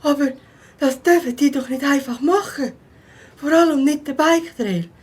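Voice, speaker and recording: An outspoken youngster with a very high voice, from a children's audio play, acted by a woman. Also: moderate pace, natural voice. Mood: indignant.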